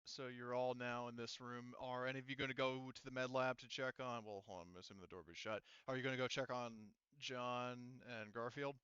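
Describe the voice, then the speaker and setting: A man narrates a tabletop roleplaying game in a bright, smooth voice. His delivery is conversational and halting as he improvises. The sound is close and dry, with slightly muffled top end. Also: mid-range voice, steady tone, no room sound. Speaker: game master.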